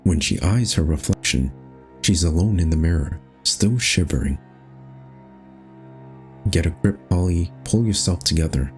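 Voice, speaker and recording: A man's voice: sits low, animated, unhurried, long pauses, a little mellow, soft background music. A man reading scripted, storybook-style narration for a horror game.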